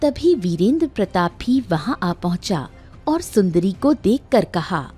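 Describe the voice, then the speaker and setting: Female cartoon narrator: unhurried, highly varied intonation, reading a scripted story. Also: big pitch swings, dark tone, husky, soft background music.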